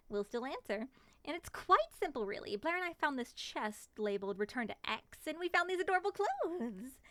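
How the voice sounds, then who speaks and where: A woman acts out a possessive but playful moth girl in an ASMR roleplay. Her voice sits a little high and moves in lively swoops, recorded dry and close. She coaxes and teases expressively. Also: dry recording. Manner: expressive, coaxing, teasing. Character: playful, bubbly, possessive female moth-human monster.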